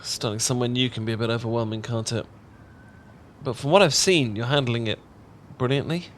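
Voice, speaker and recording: A man's voice: mid-range voice, fairly quick, slight background noise, lightly acted. A man with an English accent acting a bashful, affectionate young roommate in an intimate ASMR roleplay, chatty and stammering when flustered. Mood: warm, chatty. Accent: British, English. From England. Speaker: audio roleplay voice actor.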